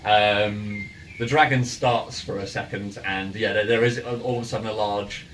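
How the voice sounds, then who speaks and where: A man acting as game master, casually narrating a tabletop game in a halting way with repeated phrases. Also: lowish voice, steady tone, a bit bright, echoey room. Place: indoor room, in-person game session. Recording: distant mic, clear background noise and ambience.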